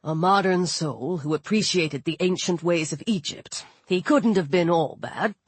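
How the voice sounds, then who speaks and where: Woman playing a low, dignified, weary father. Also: breathy edge, no room sound. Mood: wry, explaining. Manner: firm, dry, measured, formal.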